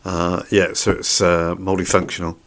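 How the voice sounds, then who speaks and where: A man's voice: deep voice, little pitch movement, normal speed, a bit bright, a little hiss or hum. A man giving an unscripted product review in a plain-spoken, somewhat hesitant way. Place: indoors.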